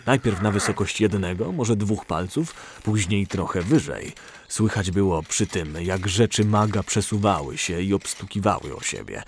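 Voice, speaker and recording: Lowish-voiced man narrating an audio drama. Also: a little hiss or hum. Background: music.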